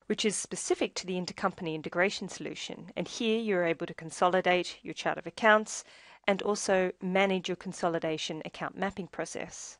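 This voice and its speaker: Low-pitched woman narrating a software tutorial, dry sound. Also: a little fast, hardly any pauses, no echo. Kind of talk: scripted instructional narration.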